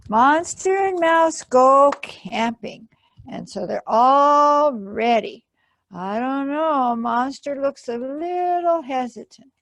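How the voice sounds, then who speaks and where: A woman reads a picture book to young children in a fairly high voice with some creak. She goes very slowly and expressively, explaining things simply as storytime host. The sound is dry and close. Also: no echo. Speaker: library storytime host and reader.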